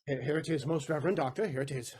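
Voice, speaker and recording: A male actor as a vengeful moneylender in a classical radio play. His voice sits a little high for a man, and he is emphatic, stubborn and defiant, pressing each demand theatrically. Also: fast, a crowd in the background, natural voice. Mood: exultant, fawning. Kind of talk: audio play Shakespeare acting.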